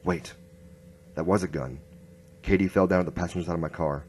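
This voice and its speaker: A man's voice: sits low, steady tone, fairly quick, darkish tone, a little hiss or hum, natural voice. A man playing a grim hard-boiled inspector, narrating tersely in a noir radio drama. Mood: tense narration.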